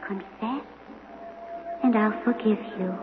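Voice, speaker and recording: A woman playing the aloof, unforgiving ghost of a murdered woman in a radio drama, measured and haunting. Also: medium pitch, a little hiss or hum, lightly acted. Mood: cold, accusing. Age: 30s. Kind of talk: 1970s radio drama acting. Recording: old radio, echo, music underneath, muffled.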